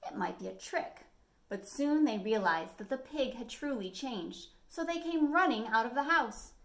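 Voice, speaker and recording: American woman reading to children, animated. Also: fairly high pitch, normal speed. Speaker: library storytime host. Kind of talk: expressive children's picture book reading. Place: small living room at home, indoors.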